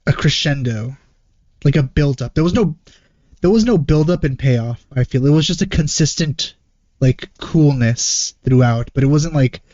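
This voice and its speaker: Muffled, mellow man thinking out loud. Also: on the high side, expressive, moderate pace, no room sound. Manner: hesitant, rambling, thinking out loud. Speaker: reaction video host. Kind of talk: casual reaction commentary. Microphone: wrong microphone, close and dry. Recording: dull, muffled lo-fi microphone.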